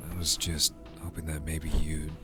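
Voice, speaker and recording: A man playing a tender, wistful young lover in an intimate cyberpunk ASMR roleplay, hesitant and gently pleading. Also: extremely low pitch, completely flat delivery, moderate pace, over music. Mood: resigned, affectionate. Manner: soft-hearted, hesitant, intimate.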